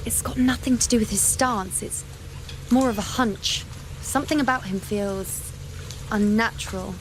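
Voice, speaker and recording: A woman's voice: on the high side, normal speed, slight background noise, lightly acted, low-quality recording. An actress playing a confident, wry young warrior woman in story dialogue for a video game. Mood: explaining, wry. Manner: wry, self-assured, teasing.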